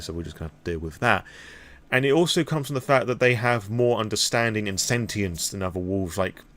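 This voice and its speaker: Low-pitched British man rambling frankly. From Britain. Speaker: vlogger on tabletop roleplaying lore. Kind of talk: impromptu opinionated vlog monologue.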